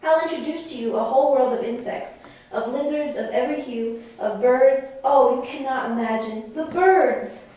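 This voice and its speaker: High, echoey woman as an eager island girl. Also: normal speed, dark tone, close to an everyday voice. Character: chatty, eager, innocent young island girl, sorcerer's daughter. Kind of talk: theatrical stage dialogue. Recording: very echoey room, far from mic.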